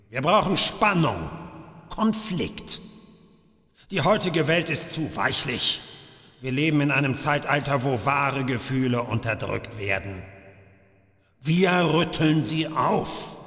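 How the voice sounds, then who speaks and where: An older gunslinger interrogator from a video game, smug, cruel and theatrical. His delivery is slow and deliberate, with big swings in pitch and a hard, forceful edge. The sound is echoey and reverberant. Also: heavily acted. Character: sadistic older male Russian gunslinger interrogator, menacing and smug. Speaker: voice actor in a video game dub.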